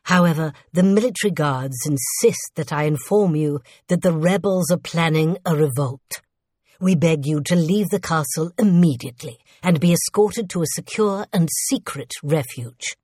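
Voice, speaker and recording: A woman's voice: sits low, moderate pace, darkish tone, breathy edge, dry recording, clean studio sound. A woman voicing a loyal male servant to a queen in a storybook, formal, earnest and emphatic. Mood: deferential, pained. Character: loyal male royal servant, deferential then panicked and defiant.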